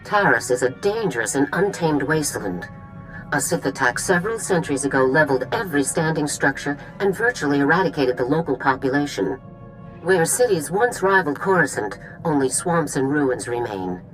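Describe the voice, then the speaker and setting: A commanding female general, briefing crisply over a comm link. Her voice sits very low yet moves with lively inflection, with soft music underneath. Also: fairly quick, rough recording.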